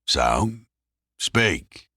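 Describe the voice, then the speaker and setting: A man's voice: deep voice, sing-song, very slow, pushed and bright, breathy edge, no echo, studio quality, voice pushed up, full character voice. A man voicing a suspicious, sneering crime boss with a confrontational, barking manner in an audiobook thriller. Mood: guarded, sneering. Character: gruff, suspicious male criminal boss, sneering.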